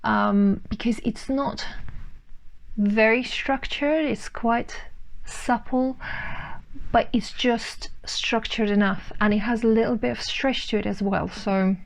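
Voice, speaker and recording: A woman's voice: on the high side, fairly even intonation, slow pace, vocal fry, poor audio. A young woman, a native Russian speaker from Ukraine, chatting casually on her sewing vlog and thinking aloud with fillers and restarts. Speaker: sewing vlogger.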